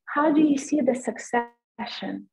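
A woman's voice: fairly high pitch, unhurried, gravelly, a little mellow. A young woman politely putting a question to a panel in a formal, courteous way over a video call. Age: young adult. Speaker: panel participant asking a question. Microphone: earbud on video call. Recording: thin phone-like video call.

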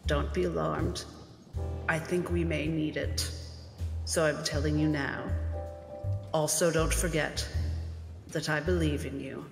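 A woman's voice: sits low, fairly even intonation, measured pace, gravelly, long pauses, long reverb tail, music in the background. A woman voicing a shrewd, composed lady in a tabletop roleplay, poised and coaxing. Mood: coaxing, reassuring. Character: shrewd, composed lady passenger with psychic powers.

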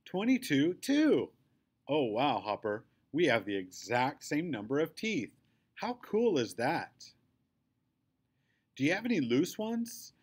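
A man acts out a chatty, indignant little girl from a children's book. The delivery is excitable and emphatic, with lifted, very animated pitch. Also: takes it slowly, breathy edge, full character voice. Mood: excited, curious.

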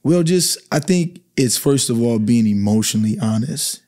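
A man in his thirties from the Bahamas, a basketball coach talking calmly as a podcast guest in a small studio. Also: mid-range voice, moderate pace. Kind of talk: podcast conversation. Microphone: studio mic on boom arm.

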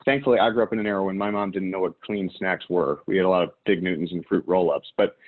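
A man's voice: deep voice, fairly even intonation. Man presenting over a phone-thin line. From Chicago area. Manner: measured, emphatic delivery with listed examples. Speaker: webinar presenter. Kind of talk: scripted business presentation. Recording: phone-like narrow band audio.

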